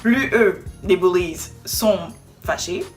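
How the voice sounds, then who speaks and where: A woman's voice: lowish voice, expressive, takes it slowly, soft background music. A young woman telling a personal story in a direct, emphatic way during a casual chat. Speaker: co-host.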